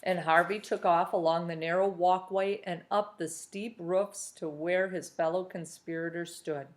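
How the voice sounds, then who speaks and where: A woman reading a novel aloud chapter by chapter, with steady storytelling. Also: on the low side, measured pace.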